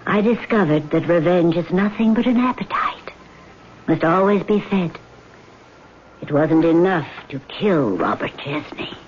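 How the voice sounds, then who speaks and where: An actress playing a vengeful, weary female ghost in a vintage radio drama, speaking in a haughty, commanding, deliberate way. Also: on the low side, expressive. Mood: brooding, menacing. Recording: muffled vintage radio recording with hiss.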